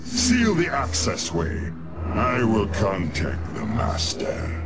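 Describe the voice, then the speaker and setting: Wise old alien warrior for a game, low slow grave voice, music loud behind. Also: male voice, wildly swooping pitch, exaggerated voice. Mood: grave resolve. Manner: grave, measured, formal. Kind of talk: dramatic sci-fi game acting.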